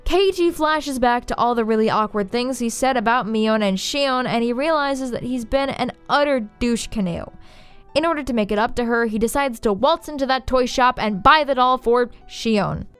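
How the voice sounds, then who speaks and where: A young woman narrates a story recap as a video essayist. Her voice sits a bit high and her delivery is sardonic and informal, over quiet background music. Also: normal speed, smooth. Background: faint music.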